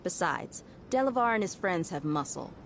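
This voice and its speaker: A woman's voice: fairly low pitch, sing-song, normal speed, gravelly, a little hiss or hum. An actress voicing a secretive, persuasive woman in a video game, coaxing in a conspiratorial way. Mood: sly, persuasive. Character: secretive, persuasive woman striking a business deal.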